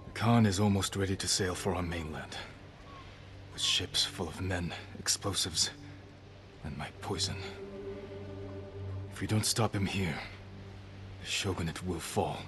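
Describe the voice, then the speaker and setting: An actor voicing a grave, stoic samurai lord in a game, speaking in a calm, measured way over music. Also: male voice, sits low. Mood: resolute. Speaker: game character.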